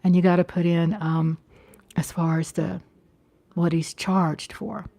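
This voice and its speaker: A woman's voice: on the low side, flat delivery, a little slow, gravelly, warm tone. A woman in her fifties hosting a livestream, chatting casually to viewers and often repeating herself or checking in with them. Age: 50s. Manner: conversational, repeats phrases and checks in with "you know". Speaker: livestream host.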